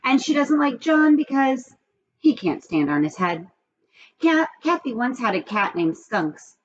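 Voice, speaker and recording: A woman's voice: sits high, very animated, measured pace, highs cut off, a little hiss or hum. A woman reading a children's storybook aloud, expressively and with asides, speaking straight to young listeners.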